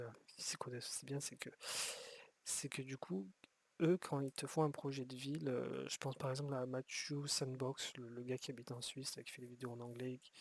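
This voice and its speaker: A man's voice: medium pitch, vocal fry, husky. A man giving rambling, casual commentary while playing a city-building game, often restarting his sentences and swearing offhand. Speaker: gameplay streamer.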